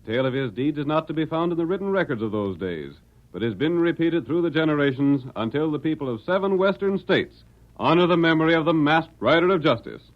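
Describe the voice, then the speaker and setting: A man's voice: a little high, expressive, quick pace. A man announcing and narrating an old radio western in a grand, theatrical scripted style. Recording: dull vintage radio recording with music.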